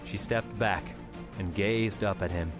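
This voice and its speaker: A man narrating an audiobook in a steady, measured storytelling voice. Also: lowish voice. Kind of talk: scripted narration. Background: music.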